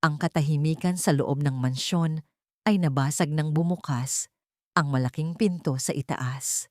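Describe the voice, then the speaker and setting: A woman's voice: low-pitched, measured pace, no room sound, studio quality. A woman narrating a scripted dramatic audio story in a solemn, emotive manner.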